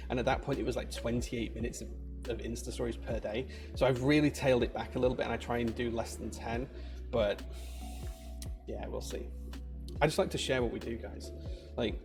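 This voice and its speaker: A married man with a Yorkshire accent, chatting casually and rambling with viewers during a livestream from his workshop. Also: medium pitch. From Yorkshire, England. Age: adult, married. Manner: chatty, rambling, many fillers and restarts. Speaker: maker livestreamer.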